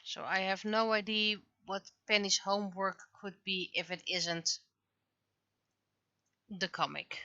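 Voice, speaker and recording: A woman's voice: medium pitch, flat delivery, a little slow, long pauses, pushed and bright, highs cut off. A woman livestreamer chatting casually while she draws, often muttering to herself as she works. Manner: mutters to self while working. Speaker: livestreamer and webcomic artist.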